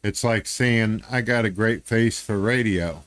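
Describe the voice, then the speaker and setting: A man from the United States with a fairly low voice talks casually in a laid-back way, slipping in dry, sarcastic jokes. The recording is close and dry. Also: unhurried, a bit bright, dry recording. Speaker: podcast host. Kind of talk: casual conversation.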